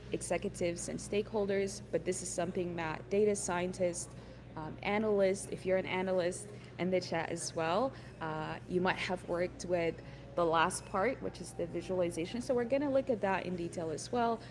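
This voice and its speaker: A woman's voice: lowish voice, noisy background. A woman from Ethiopia presenting an online workshop, friendly and encouraging, with many ums and uhs. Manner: frequent fillers, friendly and encouraging.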